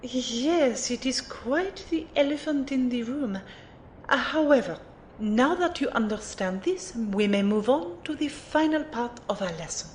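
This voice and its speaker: A woman's voice: expressive, unhurried, darkish tone. A woman playing a refined, playful magic mentor in an audio drama, formal and precise as she teases and lectures. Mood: lecturing. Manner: formal, precise, teacherly phrasing. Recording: roomy amateur recording, faint rumbling noise.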